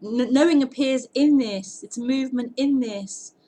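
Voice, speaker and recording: High-voiced woman pointing, halting speech. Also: warm tone, slight background noise. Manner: earnest, halting, repeats words, laughs often. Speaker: non-duality teacher hosting a live sitting. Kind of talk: live-streamed spiritual talk and Q&A.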